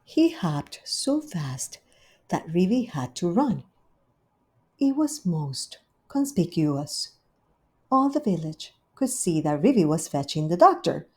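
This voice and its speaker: A woman reading a children's storybook aloud in an unhurried, gently expressive way. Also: fairly low pitch, breathy edge. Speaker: storybook reader.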